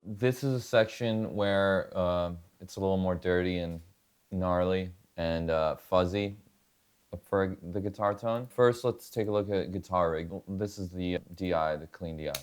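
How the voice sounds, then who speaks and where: A man with a low, smooth voice talks casually as a guest musician. His delivery is laid-back and colloquial, with plenty of loose filler words. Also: measured pace. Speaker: guitarist and producer, interview guest. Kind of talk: casual demonstration and chat. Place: home studio.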